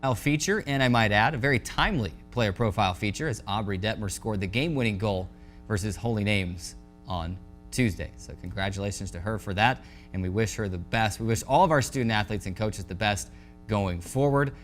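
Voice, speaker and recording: Low-pitched male sports show host, music underneath. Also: a little fast. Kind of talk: scripted sportscast narration.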